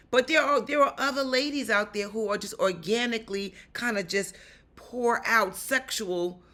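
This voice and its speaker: A woman from New York with a raspy voice and a New York accent, giving upbeat, chatty audition advice straight to the viewer on a lapel mic. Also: on the high side, sharp tone. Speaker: actor giving audition tips. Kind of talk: direct-to-camera vlog advice.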